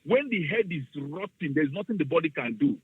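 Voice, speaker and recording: A man with a Nigerian accent phones in to a radio program, talking with fervent, preachy conviction and slipping Igbo phrases in. His voice sits high and sounds a little husky. It comes through a dry, tinny phone line. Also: expressive, fairly quick, dry recording. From southeastern Nigeria. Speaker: radio call-in listener. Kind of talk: impassioned call-in speech.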